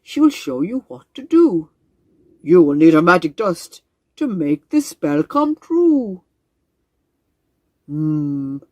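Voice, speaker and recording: A woman voicing a wise old male frog who speaks slowly and deliberately. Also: fairly low pitch, big pitch swings, a little mellow, no room sound, full character voice. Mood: thoughtful.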